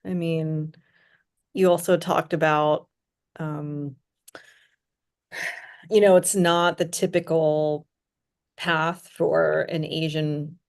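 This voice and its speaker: A woman's voice: low-pitched, little pitch movement, slow, creaky, long pauses. A woman from Hong Kong hosting a conversational interview, reflective and full of "you know" and "right?". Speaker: podcast host.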